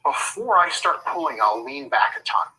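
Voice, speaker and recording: A man's voice: on the high side, sing-song, normal speed, bright, forceful tone, slightly rough, poor audio. A man giving casual fitness advice in a profane, joking way, wandering into digressions and talking through lifting technique. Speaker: fitness coach.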